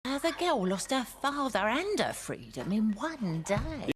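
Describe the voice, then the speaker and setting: An actress playing a kindly, motherly older female servant under enchantment in film dialogue, gently chiding. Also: a little high, very animated, measured pace. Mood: gentle reproach.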